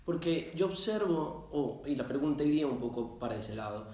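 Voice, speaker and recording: A man's voice: a little high, lively intonation, moderate pace, darkish tone. A man working as an interviewer, putting academic questions in a tentative, circling way. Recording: very echoey room.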